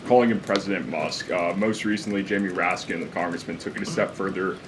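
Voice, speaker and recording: A man's voice: low voice, fairly even intonation, fairly quick. A man working as a reporter, putting questions at a press briefing. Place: indoor press briefing room. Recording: noisy live briefing room.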